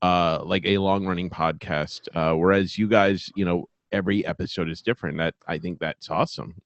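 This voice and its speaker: A dry, dull-sounding recording of an American man with a fairly low voice. He hosts casually, talking in halting bursts with plenty of filler "like". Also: steady tone, no room sound. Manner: halting, says "like" often. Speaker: podcast host. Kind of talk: casual chat.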